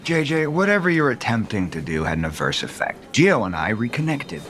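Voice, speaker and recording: An actor playing a cold, embittered husband and developer in an audio soap opera, dry, haughty and sarcastic. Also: male voice, medium pitch, very animated, brisk, a bit bright, slight background noise. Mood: haughty sparring. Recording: prominent music bed behind.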